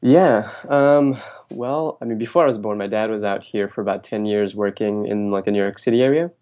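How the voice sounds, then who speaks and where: A young man with a fairly low voice answers interview questions. He talks loosely and casually, with many ums and restarts. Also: fairly quick. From Bangladesh, raised in New Jersey. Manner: relaxed, with frequent fillers and restarts. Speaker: guest.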